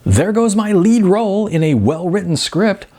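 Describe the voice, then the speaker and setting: A man's voice: high voice, lively intonation, moderate pace, warm tone, voice pushed up. A man voicing a blunt, exasperated, sardonic woman, an aspiring actress, in informal audiobook dialogue. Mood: frustrated.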